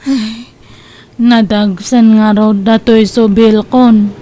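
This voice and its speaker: An actress playing a despairing, weary mother deep in debt, complaining plaintively in a radio-style comedy drama. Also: flat delivery, slow pace, dark tone, a little hiss or hum. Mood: weary, self-pitying.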